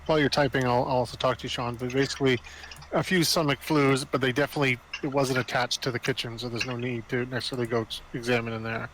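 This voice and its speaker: A man's voice: mid-range voice, level tone, quick pace. A man playing in an online roleplaying game, chatting casually with the group. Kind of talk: casual chat. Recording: clear background noise.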